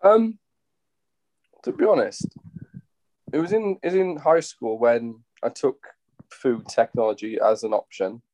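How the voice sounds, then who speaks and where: A man's voice: mid-range voice, measured pace, long pauses, darkish tone, no room sound, rough recording. A Welsh man, a chef appearing as a podcast guest, talking bluntly and casually. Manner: blunt, laid-back, swearing casually.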